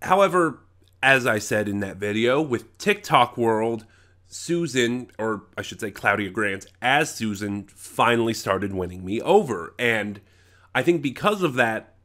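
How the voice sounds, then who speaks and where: Stuffy-nosed man with an animated, swinging pitch. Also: unhurried. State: stuffy from a head cold. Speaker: reviewer. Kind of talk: unscripted audio drama review, casual opinionated talk.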